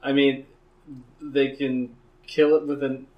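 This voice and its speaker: A man talks in a casual, slangy podcast style at a medium pitch. His voice sits a little distant from the microphone. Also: flat delivery, takes it slowly, lo-fi sound. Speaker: podcast panelist. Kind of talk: casual chat, slangy and swearing. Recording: slightly distant from the mic.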